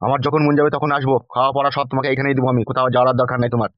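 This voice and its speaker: A man's voice: medium pitch, flat delivery, racing, no echo, highs cut off, close to an everyday voice. A man voicing a bullying, lecherous local politician in a comic cartoon, crude and blustering. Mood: possessive demanding. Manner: blustering, crude, exclaiming in repeats.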